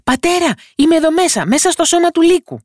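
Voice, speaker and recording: A woman voicing a tiny, clever, cheeky boy in a storybook tale, speaking high and shrill. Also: sing-song, dry recording, clean studio sound. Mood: shouting.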